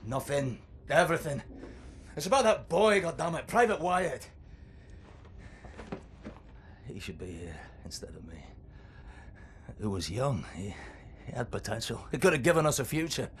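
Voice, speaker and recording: Actor as bitter aging soldier, volatile delivery. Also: male voice, mid-range voice, sing-song, normal speed, natural voice. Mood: weary regret. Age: middle-aged. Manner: sweary, emotional, swinging from muttering to outbursts. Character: bitter, weary, aging male resistance soldier. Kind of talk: dramatic game cutscene acting. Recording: noisy ambience behind the voice.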